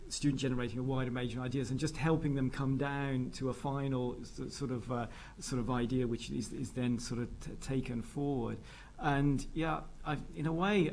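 A man's voice: mid-range voice, a little mellow, a little hiss or hum. A man who teaches design, speaking hesitantly on a panel with many fillers and restarts. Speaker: design lecturer. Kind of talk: panel discussion. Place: large dark auditorium stage.

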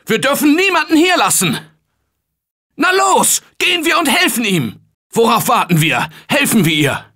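A man's voice: very high-pitched, sing-song, normal speed, pushed and bright, heavily acted. A man voicing a tense male survivor in a German game dub, barking urgent warnings and calls for help. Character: male game survivor, tense office worker fighting zombies. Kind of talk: game voice clips.

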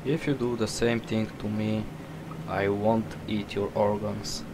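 A man's voice: lowish voice, little pitch movement, unhurried, noisy background, natural voice. A man voicing a sleazy mad doctor monster in an amateur horror game dub, rambling with mock-polite menace.

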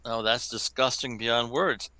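A man hosting and running a tabletop game, brisk and joking as he calls turns. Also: mid-range voice, fairly even intonation, sharp tone, no room sound. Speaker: game master and host.

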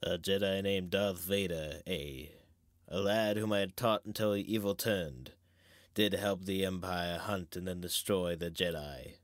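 A man playing a wise, grave old knight and mentor in a measured, theatrical Shakespearean reading. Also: deep voice, steady tone, no room sound, clean studio sound.

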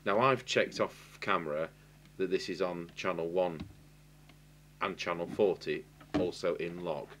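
A middle-aged man with an English accent gives an unscripted hands-on technical tutorial, halting and matter-of-fact as he thinks aloud while working. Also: sits low, fairly bright tone. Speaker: radio repair technician giving a demonstration. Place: indoor electronics workbench. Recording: clear hiss and electrical hum.

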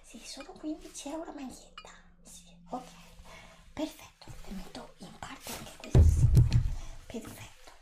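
A middle-aged woman whispers in a breathy, high-pitched voice. She plays an attentive shop assistant in an ASMR roleplay, gently advising a customer at a slow pace. The room adds a noticeable echo. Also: animated, loud music behind the voice. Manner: gentle, attentive sales patter to an imagined customer. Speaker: ASMR creator playing a clothing shop assistant.

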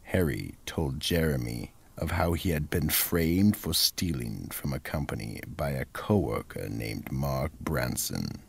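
Very deep, gravelly male narrator. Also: animated, measured pace, few pauses. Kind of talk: scripted radio mystery narration.

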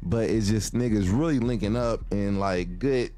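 A man's voice: fairly low pitch, moderate pace, mellow. A man from Kentucky with a Kentucky accent, hosting a casual podcast chat in a living-room studio, slangy and laughing easily. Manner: loose, laughing, slangy, cursing. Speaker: podcast host. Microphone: desk mic on arm with headphones.

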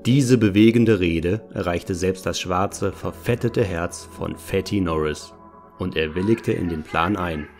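A man's voice: low-pitched, unhurried, darkish tone, faint music behind. A man narrating a scripted parody audio drama as storyteller.

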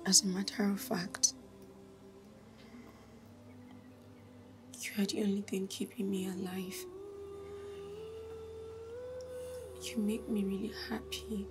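A woman's voice: medium pitch, little pitch movement, moderate pace, noisy background, lightly acted. An actress playing a young woman, heartbroken and betrayed, tearful and pleading. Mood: whispering tender. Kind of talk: film drama acting.

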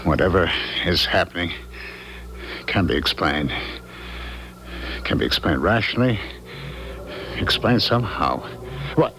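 An actor playing a nervous, guilt-haunted husband in a 1970s radio drama, speaking in an agitated, halting way. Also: male voice, low voice, expressive, sharp tone, soft background music, heavily acted. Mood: frightened, muttering.

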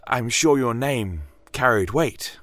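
A man's voice: medium pitch, expressive, slow pace, fairly bright tone, no room sound, voice pushed up. A man voicing a cautious, polite male companion in a fantasy audio story, hesitant and stammering a little.

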